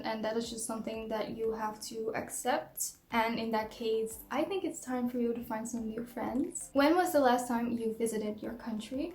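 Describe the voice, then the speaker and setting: A young woman from the Netherlands talks to her viewers in an earnest, reflective vlog, reading out each question before she answers it. Her voice sits a little high, and the room gives back a noticeable echo. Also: a little mellow. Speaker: vlogger. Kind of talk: casual tag video, answering questions to camera. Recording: echoey room.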